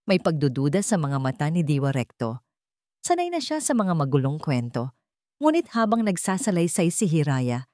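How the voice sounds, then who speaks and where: Low-pitched woman narrating drama, dry sound. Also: no echo. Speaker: storyteller.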